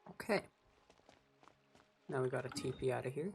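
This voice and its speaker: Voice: mid-range voice, normal speed, vocal fry, darkish tone, faint music behind. A game streamer giving casual commentary, muttering to themself in an unsure way.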